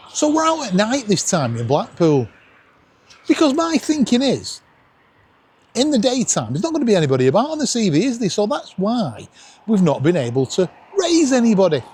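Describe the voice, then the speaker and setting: Male vlogger, Northern English accent. Slightly rough, husky tone, highly animated intonation, cheeky self-mocking chat. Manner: jokey, animated, self-deprecating. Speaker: vlog host. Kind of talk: casual vlog chat. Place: outdoors at night on a seafront promenade. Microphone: camera worn around the neck.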